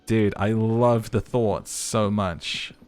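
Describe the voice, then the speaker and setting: A man's voice: lowish voice, fairly even intonation, takes it slowly, a little mellow. A man giving playthrough commentary and narration as a game streamer, with music under his voice.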